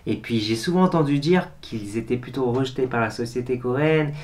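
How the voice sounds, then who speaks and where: A man hosting, narrating a calm, explanatory voice-over. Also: mid-range voice, fairly quick. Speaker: host.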